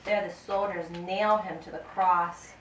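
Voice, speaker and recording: A woman reading a scripted Bible story as narrator of a puppet show, in a deliberate, expressive way. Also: on the low side. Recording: roomy, distant mic, faint background noise.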